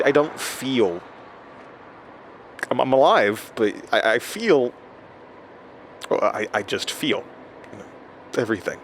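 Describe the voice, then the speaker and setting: An actor in an audio drama playing a rattled, self-doubting man who records a halting, stammering journal, talking to himself. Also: mid-range voice. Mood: dazed, anxious. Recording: steady noise, like inside a moving car.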